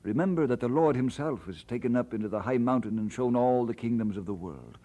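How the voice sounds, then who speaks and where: An actor playing an earnest, conscientious male pastor in an audio drama, speaking in a measured, deliberate way. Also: mid-range voice, expressive, warm tone. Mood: sober reading. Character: earnest, conscientious male pastor torn over his calling. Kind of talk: dramatised radio-play acting.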